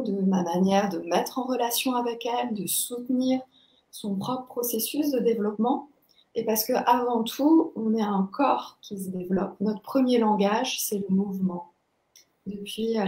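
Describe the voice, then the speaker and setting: A young woman explaining her practice as a guest teacher, thoughtful and halting as she searches for words. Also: medium pitch, warm tone. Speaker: body-movement teacher, interview guest. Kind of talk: explanatory interview talk. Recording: echoey, roomy sound.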